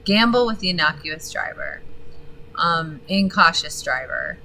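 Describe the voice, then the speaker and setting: A woman streaming a game, chatting casually with viewers and reading game text aloud; her voice is gravelly and she swears freely. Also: sits low, wildly swooping pitch, measured pace, sharp tone, slight background noise, poor audio. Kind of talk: casual gaming stream commentary.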